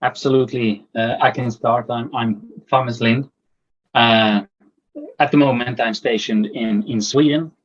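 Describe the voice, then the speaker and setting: A man's voice: mid-range voice, fairly even intonation, unhurried, muffled recording. A man from Finland, a documentary producer and podcast guest, answering thoughtfully and repeating words as he searches for phrasing. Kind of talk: casual interview answers.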